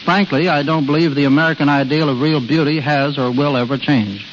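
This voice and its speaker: Higher-voiced man reading stiffly on old radio. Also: a little fast. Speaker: interview guest, magazine editor. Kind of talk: scripted 1930s radio interview. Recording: dull, faintly hissy 1930s radio.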